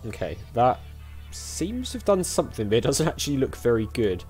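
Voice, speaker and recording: A man's voice: medium pitch, sing-song, brisk, music in the background. A chatty man giving casual let's-play commentary, thinking aloud as he goes. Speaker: gaming YouTuber.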